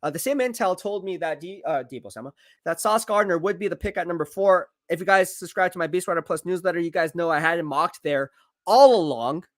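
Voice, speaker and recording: A man live-streaming football draft commentary, excitable and hyping each pick, his voice going hoarse. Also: sits high, brisk, no echo, studio quality. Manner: excitable, hypes picks, reads chat aloud. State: losing his voice.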